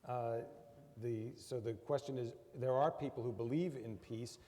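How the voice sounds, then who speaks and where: A man moderates a university Q&A, calling on the audience and briskly restating each question for everyone to hear. His tone is mellow and dark, and a long reverb hangs in the hall around him. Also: medium pitch, steady tone, slight background noise. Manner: brisk, procedural, with some fillers. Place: large university lecture hall.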